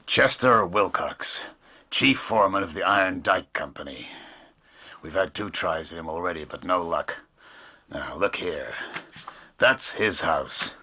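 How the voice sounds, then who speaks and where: An actor playing a hard, cold, commanding gang boss in a radio drama, speaking deliberately. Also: male voice, deep voice, lively intonation, bright, forceful tone, slightly rough, full character voice. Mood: cold, scheming.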